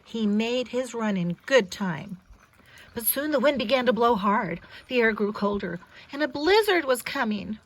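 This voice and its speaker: Lady with a highish voice reading a kids picture book out loud, expressive teacher style, little asides to the listeners. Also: unhurried, rough recording. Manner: simple, expressive teacherly narration, pointing out pictures. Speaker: storyteller reading aloud to children. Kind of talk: children's picture-book read-aloud with asides.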